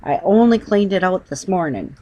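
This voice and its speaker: Gruff, bitter male Cockney cab driver for a children's storybook, woman performer, grumbling working-class delivery. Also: fairly low pitch, sing-song, normal speed, highs cut off. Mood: grudging, gruff. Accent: London Cockney. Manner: gruff, bitter, dropped aitches.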